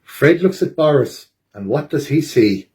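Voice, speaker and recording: A man reading a children's picture book aloud at a library storytime, with dramatic, expressive delivery. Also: on the high side, unhurried.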